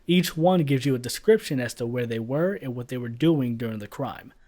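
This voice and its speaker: A man's voice: medium pitch, fairly quick, mellow. A man giving casual voice-over commentary as a video reviewer, blunt and sarcastic, swearing freely. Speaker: YouTube reviewer.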